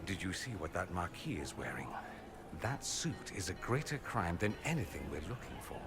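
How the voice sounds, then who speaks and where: An actor playing a witty, vain aristocratic mage in a fantasy game, with a wry, sardonic flourish. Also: male voice, low-pitched, big pitch swings, moderate pace. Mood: teasing. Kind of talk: fantasy video game dialogue acting.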